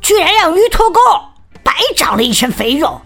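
A woman voicing a loud, bossy young chick in a children's story, scolding and shrill. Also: sing-song, moderate pace, gravelly, slightly rough, soft background music, exaggerated voice.

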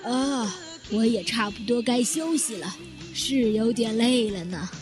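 A composed elderly lady played by a woman in an audio drama. Her voice sits on the high side, and she speaks with measured courtesy over clearly audible music. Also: lightly acted. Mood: courteous. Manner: polite, measured. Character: courteous, composed elderly female hotel guest, nearly seventy. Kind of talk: Chinese audio drama acting.

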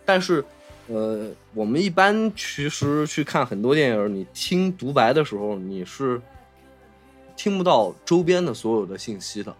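A man's voice: medium pitch, big pitch swings, unhurried, soft background music. A man with a Mainland Chinese Mandarin accent hosting a casual film discussion, explaining and rephrasing his points as he goes. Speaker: podcast host.

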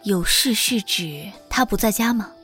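A mid-pitched young woman's voice from an actress, with faint music behind it. She is composed and authoritative, an audio drama heroine who speaks in calm, brief, measured sentences. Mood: calm, probing. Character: composed, authoritative young mother and senior sister. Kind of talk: modern audio drama acting.

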